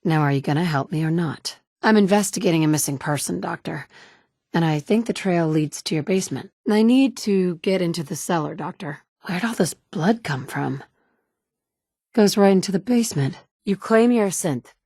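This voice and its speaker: A woman's voice: on the low side, moderate pace, warm tone, dry recording. An actress voicing a tough, blunt survivor mother in a game, speaking her lines with a dry, wry delivery. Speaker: player character voice.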